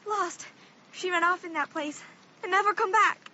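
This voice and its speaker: A frightened little girl in a radio play, pleading and distraught. The voice is very high and sharp, over a dull recording with noise behind it. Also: normal speed, exaggerated voice. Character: frightened weeping little girl, an older woman turned child. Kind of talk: radio drama acting.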